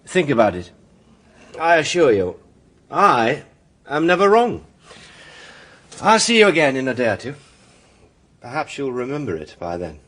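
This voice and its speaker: An actor playing a suave, sly British defence barrister, self-assured and insistent, in a courtroom radio drama. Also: male voice, medium pitch, big pitch swings, measured pace, long pauses, a bit bright. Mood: probing, insistent.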